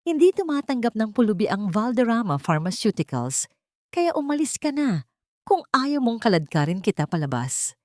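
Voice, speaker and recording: A Filipino woman plays a harsh security guard in an audiobook drama. The voice is pushed higher, sharp and threatening, in a dry recording. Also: sing-song, moderate pace, no echo. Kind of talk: audiobook character dialogue.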